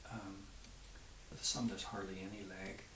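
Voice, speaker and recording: A man talking casually in an interview as a teacher looking back on his work, with an expressive way of telling it. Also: deep voice, a little slow. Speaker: private violin teacher. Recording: roomy, distant mic, faint noise.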